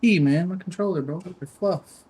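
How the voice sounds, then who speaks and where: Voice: mid-range voice, normal speed, a little mellow, slightly rough. A live game streamer chatting casually, with informal, muttered reactions during play. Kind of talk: casual gaming livestream chat.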